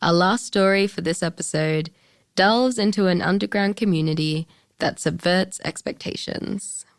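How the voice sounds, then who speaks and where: A woman's voice: lowish voice, moderate pace, no echo, clean studio sound. A woman radio presenter reading scripted credits and introductions for audio stories.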